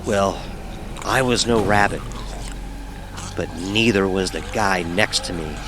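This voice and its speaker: An actor playing a nervous young fraternity pledge, narrating his own horror story with measured suspense. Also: fairly low pitch, background noise. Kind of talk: dramatic first-person horror narration.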